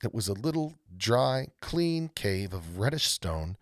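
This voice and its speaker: A man reading a storybook aloud as an expressive narrator. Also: on the low side, measured pace, dry recording, clean studio sound. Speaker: read-aloud host, storyteller.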